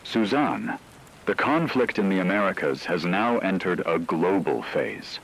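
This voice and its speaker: A man voicing a grave radio war correspondent, reading a scripted news report in a formal, measured way. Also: sits low, creaky, a bit bright, exaggerated voice, poor audio.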